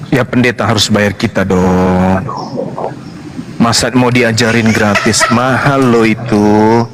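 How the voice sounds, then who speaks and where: A man's voice: lowish voice, fairly even intonation, takes it slowly. Male co-host, joking and teasing, mid voice, faint noise. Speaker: co-host of a religious debate stream. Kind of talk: casual joking chat.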